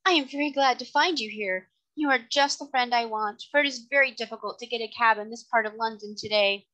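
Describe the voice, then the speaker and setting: A woman's voice: a little high, brisk, sharp tone, pitched above the performer's own voice, low-quality recording. A woman voicing a gracious, well-to-do lady in a storybook, friendly, genteel and courteous. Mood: pleased, gracious.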